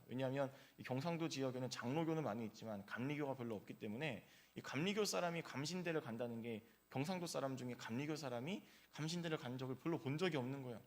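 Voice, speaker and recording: A male pastor giving an early-morning sermon in a church, telling personal stories in a conversational way with frequent filler sounds. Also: mid-range voice. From South Korea, grew up in Gyeongsang region.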